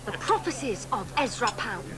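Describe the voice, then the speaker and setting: An actress playing an earnest, flattering publisher in a radio drama. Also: female voice, a little high, normal speed, music in the background. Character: earnest female publisher, admiring and persuasive.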